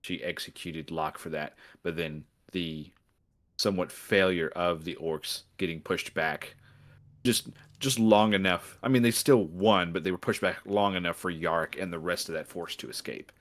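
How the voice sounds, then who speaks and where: A man's voice: deep voice, moderate pace, fairly bright tone. A man co-hosting a podcast, talking casually and reacting to a story. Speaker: podcast co-host. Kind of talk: casual chat.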